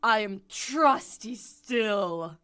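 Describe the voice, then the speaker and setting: A young woman playing a melodramatic young male lover in a radio play of Shakespeare, declaiming in an overwrought, drawn-out way. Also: medium pitch, animated, sharp tone, clear, resonant tone, faint music behind, heavily acted. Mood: ardent. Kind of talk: 1940s radio play acting of Shakespeare verse.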